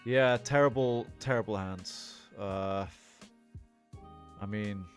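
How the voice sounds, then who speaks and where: A man's voice: lowish voice, slow. Man in his forties chatting on a game stream, music behind. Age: 40s. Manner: rambling, thinking aloud, frequently checking in with "right?". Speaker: live streamer playing a card game. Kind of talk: casual live gaming commentary mixed with chat to viewers.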